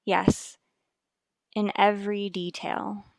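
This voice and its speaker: A woman's voice: medium pitch, little pitch movement, slow, creaky, no room sound. A woman playing a troubled, guarded wife and mother in an old-time radio soap, restrained and evasive in manner. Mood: shaken, anxious.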